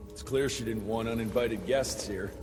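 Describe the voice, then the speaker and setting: A gruff American Wild West hunter played by a man in a game cutscene. He talks bluntly with dry sarcasm in a reverberant space, with loud music behind him. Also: lowish voice, moderate pace, natural voice. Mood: brusque. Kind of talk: video game cutscene acting.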